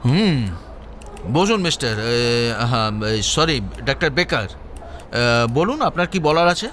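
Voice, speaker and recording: An actor playing a brisk, sceptical police chief who questions people in a detective audio drama. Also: male voice, mid-range voice, lively intonation, fairly bright tone, a little hiss or hum. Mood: businesslike.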